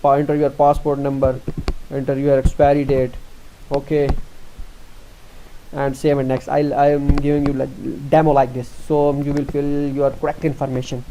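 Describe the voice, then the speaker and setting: A young man from Pakistan with a Pakistani accent, explaining an online form step by step, halting often with fillers and restarts. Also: mid-range voice, little pitch movement, background noise. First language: Urdu. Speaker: tutorial presenter. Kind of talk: screen-recorded walkthrough.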